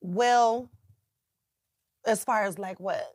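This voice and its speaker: A raspy-voiced woman in her twenties from Chicago, chatting frankly as a guest about her music and swearing freely. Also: mid-range voice, very slow, darkish tone, dry recording, clean studio sound. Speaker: rapper and singer guest. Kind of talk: casual interview.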